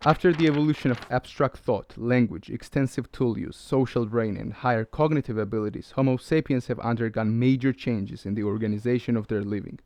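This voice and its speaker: Guy narrating a video essay, lecture tone, mid pitch, dry close sound. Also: darkish tone, dry recording. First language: Georgian. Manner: measured, lecturing. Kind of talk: scripted narration.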